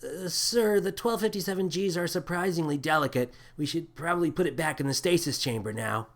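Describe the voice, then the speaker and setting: A man's voice: a little high, brisk, pitched above the performer's own voice, lightly acted. A man voicing a nervous, deferential little crewman who stammers meekly, in a sci-fi audio storybook. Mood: cautious, deferential. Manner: sputtering, stammering, meek.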